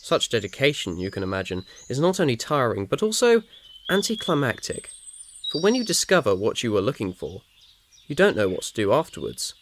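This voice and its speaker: A man's voice: mid-range voice, very animated, a little fast. A man reading a scripted second-person ASMR story as its narrator. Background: birdsong, crickets and faint noise.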